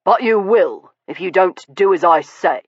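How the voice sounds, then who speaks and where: A woman's voice: on the low side, expressive, measured pace, no room sound. A woman voicing a tall, imposing aristocratic man who speaks in clipped, firm tones, on a dull, dry recording. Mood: commanding.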